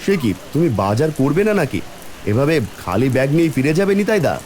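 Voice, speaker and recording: A man's voice: mid-range voice, very animated, fast talker, a little mellow, a little hiss or hum, natural voice. A man playing a friendly, plain-spoken village farmer in a cartoon, companionable in manner. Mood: surprised. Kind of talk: Bengali cartoon voice acting.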